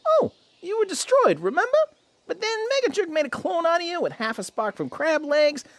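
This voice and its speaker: A woman's voice: very high voice, very animated, moderate pace, dry recording, exaggerated voice. A woman voicing a cheeky male rat robot warrior in a cartoon-based radio drama, teasing in slangy banter. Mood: excited, teasing. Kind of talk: animated-series radio drama acting.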